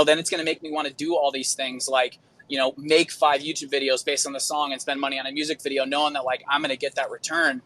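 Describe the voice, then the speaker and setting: A man with a bright, forward voice speaks off the cuff as a guest musician. He rambles in a personal, informal way, sprinkling in slangy filler phrases. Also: medium pitch. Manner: informal, rambling, frequent "dude" and "you know". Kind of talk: casual chat, personal monologue.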